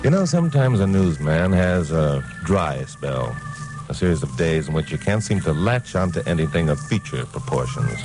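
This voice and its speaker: An actor playing a wry, hardboiled newscaster detective with a breezy, clipped delivery in old radio drama style. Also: male voice, very deep voice, big pitch swings, normal speed. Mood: wry storytelling. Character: wisecracking hardboiled newscaster detective narrating his case. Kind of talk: 1950s radio detective drama acting and narration. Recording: old radio recording, music behind.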